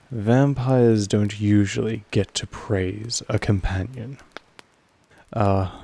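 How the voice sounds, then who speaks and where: A man playing a possessive vampire master in an intimate audio roleplay, speaking formally in a measured, softly menacing way with a gravelly creak. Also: on the low side. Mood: tender, proud. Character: possessive male vampire master, calm, commanding, quietly affectionate.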